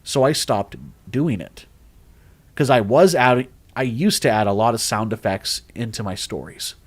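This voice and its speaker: A man who narrates horror stories, chatting casually on a livestream, rambling and animated, with lots of restarts. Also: medium pitch, moderate pace, husky. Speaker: horror story narrator and streamer. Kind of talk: casual livestream chat reading comments.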